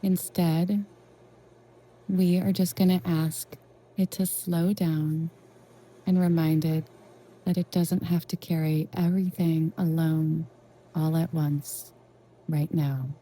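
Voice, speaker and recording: A woman leading a guided meditation in a gentle, reassuring, unhurried way with long pauses. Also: low-pitched, little pitch movement, poor audio. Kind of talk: soothing guided meditation narration.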